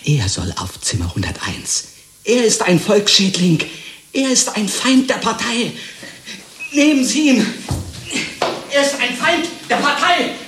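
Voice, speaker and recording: A man's voice: extremely high pitch, big pitch swings, a little slow, long pauses, fairly bright tone, exaggerated voice. An actor playing a terrified, broken male prisoner in a radio play, pleading frantically and repeating himself. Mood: hysterical, frantic. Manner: pleading, repetitive, rising to hysteria. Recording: echoey room, faint noise.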